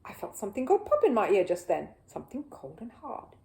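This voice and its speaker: A woman's voice: mid-range voice, wildly swooping pitch, a little fast, vocal fry, echoey room. A woman voicing a kindly, courteous male shopkeeper in a children's storybook. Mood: amazed. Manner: courteous, gentle. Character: kindly, courteous gentleman shopkeeper, friend of the bear. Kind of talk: children's storybook character reading.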